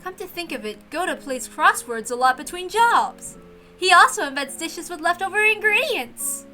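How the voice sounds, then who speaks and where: A woman's voice: very high-pitched, expressive, normal speed, bright, forceful tone. A woman reading a visual novel aloud as narrator, with music playing behind her. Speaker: reader voicing a visual novel. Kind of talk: animated read-aloud narration.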